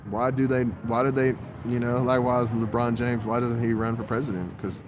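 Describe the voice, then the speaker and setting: A man's voice: mid-range voice, level tone, moderate pace. A man in his forties talking in a rambling monologue that jumps from topic to topic, with frequent swearing. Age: 40s. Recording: outdoor traffic noise.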